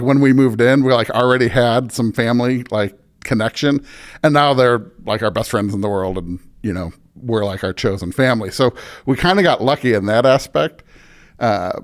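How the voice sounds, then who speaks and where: A middle-aged American man chatting casually and telling anecdotes as an interview guest on a podcast. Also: fairly low pitch.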